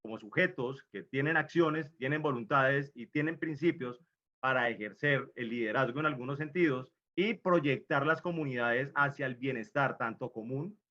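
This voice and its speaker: A man's voice: mid-range voice, moderate pace, bright, forceful tone. A man from Colombia moderating a student group presentation in a formal manner, handing turns to the others. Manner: formal, leads the discussion and hands over turns. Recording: dull lo-fi mic.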